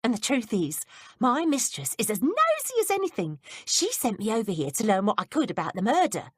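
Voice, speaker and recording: A woman's voice: wildly swooping pitch, brisk, husky, no echo, studio quality, full character voice. Woman playing a coy, nosy young housemaid. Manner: high, eager questioning.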